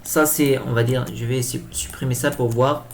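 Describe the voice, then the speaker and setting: A man giving an improvised software tutorial, speaking hesitantly and often repeating himself as he explains each step. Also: mid-range voice, steady tone, moderate pace. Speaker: tutorial presenter. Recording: clear background noise.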